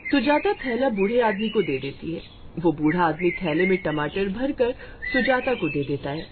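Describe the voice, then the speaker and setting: A woman's voice: medium pitch, very animated, moderate pace, narrow, tinny audio, a crowd in the background. A woman reading scripted narration for a moral story as its narrator.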